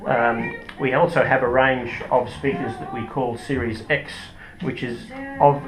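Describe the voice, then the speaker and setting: A man with an Australian accent explaining products in an informal interview, in a hesitant, explanatory way. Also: medium pitch. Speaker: company product manager being interviewed. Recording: roomy echo with music behind.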